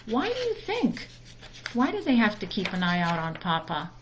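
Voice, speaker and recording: A woman reading a children's story aloud in a deliberate, expressive storytime manner. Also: mid-range voice, a little hiss or hum. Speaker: storytime reader.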